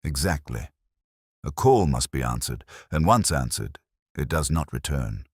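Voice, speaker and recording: A man acting out a philosophical gentleman detective in an audiobook mystery. The voice is very low and husky, with expressive rises and falls. He reasons quietly and deliberately, in grave aphorisms. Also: no room sound, studio quality, heavily acted. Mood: calm, reasoning. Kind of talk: audiobook character dialogue.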